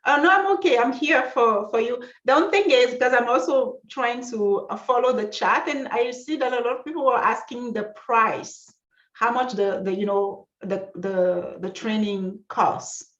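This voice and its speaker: Higher-voiced French-native woman on an echoey call. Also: moderate pace, fairly bright tone, muffled recording. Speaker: co-host and trainer. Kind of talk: live online webinar talk.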